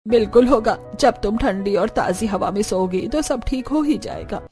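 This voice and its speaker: A woman's voice: on the high side, moderate pace, dark tone, soft background music, close to an everyday voice. A woman voicing a strict, bossy older village mother-in-law in a cartoon, commanding and dismissive.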